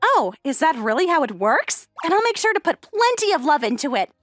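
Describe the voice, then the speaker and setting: A woman playing a poised, sheltered high school girl in an anime-style comic dub, speaking with composed, polite earnestness. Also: sing-song, brisk, fairly bright tone, soft background music. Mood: earnest.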